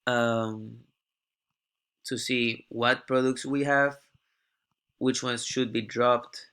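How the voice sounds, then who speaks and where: A young man, an international student, giving a halting class presentation while sick with a cough. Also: mid-range voice, steady tone, a bit bright, clean tone. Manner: halting, often repeats words before going on. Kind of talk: class presentation walking through a data dashboard.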